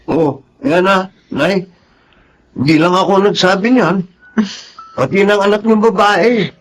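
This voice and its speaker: A man's voice: high-pitched, a little slow, faint music behind. A man playing a cheerful, devoted young Filipino son in a radio drama. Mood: teasing, caring. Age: young adult.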